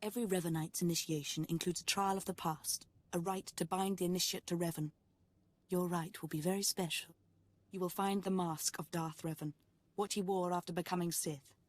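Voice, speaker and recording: A woman playing a fervent, obsessed cult devotee in a video game, speaking in a reverent, measured, breathy way. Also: lowish voice, steady tone, close to an everyday voice. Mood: solemn, fervent. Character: fervent, obsessed female Sith cult devotee, soft-spoken zealot. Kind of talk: scripted video game voice acting.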